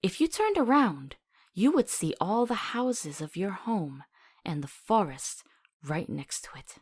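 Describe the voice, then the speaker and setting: Compassionate young healer, visual novel drama; mid-range voice, gentle, reassuring, soothing delivery. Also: female voice, mid-range voice, big pitch swings, a little slow, slightly rough. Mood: tender, soothing. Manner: tender, soothing, coaxing. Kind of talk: visual novel voice acting, storytelling tone.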